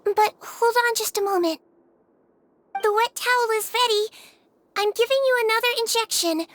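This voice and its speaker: A woman voicing a gentle, caring nurse girl in a game, speaking softly and politely. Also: very high-pitched, moderate pace, dry recording. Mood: soothing. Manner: soft, soothing, polite. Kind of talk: game voice acting.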